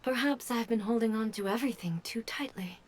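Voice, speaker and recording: An actress playing a calm, regal female ruler who speaks in a measured, formal way. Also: on the high side, a little hiss or hum, lightly acted. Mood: reflective.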